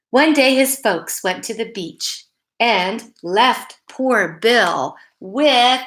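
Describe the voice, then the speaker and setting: Slow, bright-voiced woman storyteller for children. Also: medium pitch. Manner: addresses young listeners with simple questions. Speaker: school story-time host reading to children. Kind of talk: read-aloud bedtime storytelling and chat to children.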